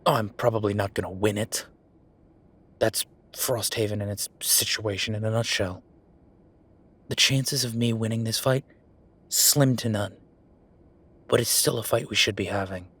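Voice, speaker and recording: A man performing a roleplay audio drama as an exasperated werewolf official venting to his partner, weary, sardonic and conversational with emphatic pauses. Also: fairly low pitch, steady tone, a bit bright, breathy edge. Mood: frustrated, defiant.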